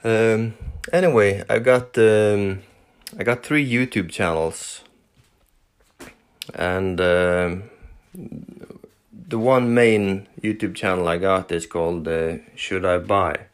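A Norwegian-accented man with a low, deep voice talks through his first solo podcast at an unhurried pace. He speaks English as a second language and fills his pauses with frequent fillers and false starts. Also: clear, resonant tone. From Norway. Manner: hesitant, many fillers and restarts. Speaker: podcast host.

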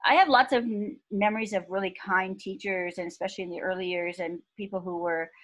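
A woman's voice: mid-range voice, fairly even intonation. Thoughtful woman in her fifties. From Pakistan. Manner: thoughtful, measured, with soft laughs. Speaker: guest. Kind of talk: reflective interview talk.

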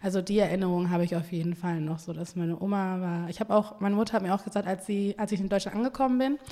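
A woman's voice: mid-range voice, steady tone, fast talker, dark tone, big-room sound. A woman from Ghana who grew up in Germany, a podcast guest talking casually about her agency and childhood, laughing often and using lots of fillers.

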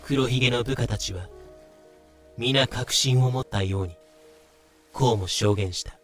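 A man's voice: medium pitch, a little slow, faint music behind, close to an everyday voice. A man playing the grave narrator of a pirate legend in a fan audio drama. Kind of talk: scripted occult-tale narration in audio drama.

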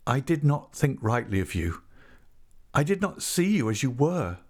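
A man's voice: mid-range voice, very animated, unhurried, darkish tone, voice pushed up. A man voicing a gaunt, remorseful husband who pleads earnestly and tenderly, in a dramatised audiobook of a classic novel. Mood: pleading. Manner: earnest, imploring.

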